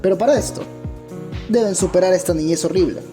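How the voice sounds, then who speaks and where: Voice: medium pitch, fast, dark tone. A narrator giving a scripted documentary-style account with a dramatic, opinionated storytelling manner. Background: background music.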